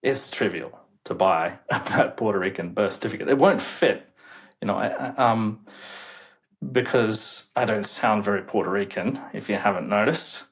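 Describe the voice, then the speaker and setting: A man's voice: lowish voice, normal speed. Emphatic young man explaining. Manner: emphatic, incredulous, chuckles while making points. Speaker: demographer guest. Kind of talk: casual interview talk.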